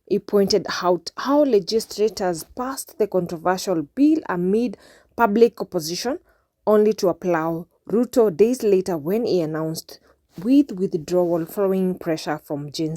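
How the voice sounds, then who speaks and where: A woman's voice: mid-range voice, measured pace, dark tone, no echo, studio quality. A woman reading scripted news narration in a formal manner, occasionally stumbling over the script.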